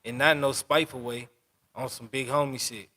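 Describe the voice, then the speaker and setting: A man's voice: mid-range voice, steady tone, normal speed, pushed and bright. Young man talking loose street slang. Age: 20s. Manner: loose street talk, keeps asking "you know what I'm saying". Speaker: podcast host. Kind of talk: casual interview.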